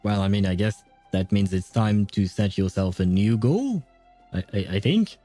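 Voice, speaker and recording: A man's voice: fairly low pitch, animated, moderate pace, dark tone, soft background music. A young man chatting casually on a game livestream, thinking aloud and laughing often as he reads and reacts. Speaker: gaming livestreamer.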